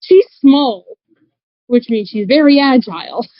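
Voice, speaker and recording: Higher-voiced woman, dull dry audio. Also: animated, measured pace, crowd noise. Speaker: tabletop game player. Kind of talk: casual in-game description.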